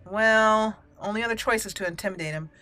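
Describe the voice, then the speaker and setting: A woman's voice: medium pitch, fairly quick, bright, forceful tone. A woman hosting a gaming channel, giving casual commentary as she plays a roleplaying game.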